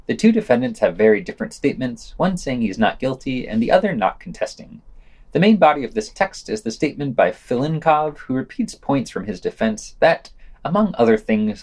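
A man reads a podcast news digest from a script, with a pitch that moves around a good deal. His delivery is wry and sardonic, mixing quoted passages with his own commentary. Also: darkish tone.

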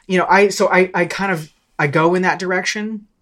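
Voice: mid-range voice, fairly even intonation, a little fast, fairly bright tone. Thoughtful, hesitant podcast talker. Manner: hesitant, thinking aloud with self-corrections. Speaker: podcast discussion participant. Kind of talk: casual reflective discussion.

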